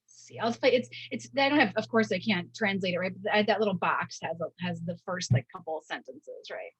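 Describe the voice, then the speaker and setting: A woman giving an informal academic lecture, speaking haltingly and often checking in with "right?". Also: fairly low pitch, expressive, a bit bright, husky, no echo, poor audio. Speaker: university professor giving a lecture.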